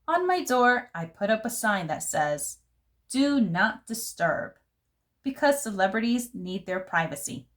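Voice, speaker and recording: A woman reading a picture book aloud to children in an expressive, careful, theatrical storytelling manner. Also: medium pitch, normal speed, hardly any pauses. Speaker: children's read-aloud host.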